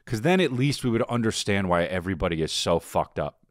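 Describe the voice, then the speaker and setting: Joking guy on a podcast, voice swings all over, lowish. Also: expressive, brisk, dry recording, clean studio sound. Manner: jokey, swears, sudden voice jumps. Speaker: co-host. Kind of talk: casual podcast banter.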